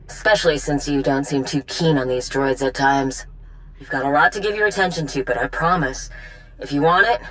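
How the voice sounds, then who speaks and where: A woman's voice: low-pitched, fairly bright tone, low-quality recording. A woman voicing a proud, practical, guarded warrior mechanic in a video game cutscene.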